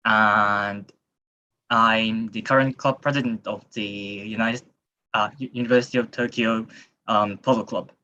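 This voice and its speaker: A man's voice: lowish voice, steady tone, a little slow, bright, forceful tone. A young man in his twenties with a Japanese accent, answering carefully on an online panel with many fillers. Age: 20s. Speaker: university student panelist. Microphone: video call. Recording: muffled video call audio.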